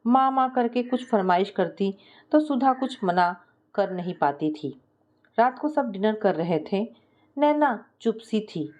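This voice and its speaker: A woman storyteller reads a scripted domestic tale. Her voice is mellow and gentle on the ear, at an average female pitch. She voices the dialogue within her narration. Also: expressive, unhurried, highs cut off.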